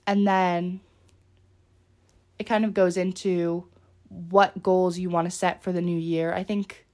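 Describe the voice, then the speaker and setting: A young woman hosting a solo podcast in a casual, rambling way, her voice a little raspy from being sick. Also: medium pitch, a little mellow. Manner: chatty, rambling, with many fillers. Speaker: podcast host.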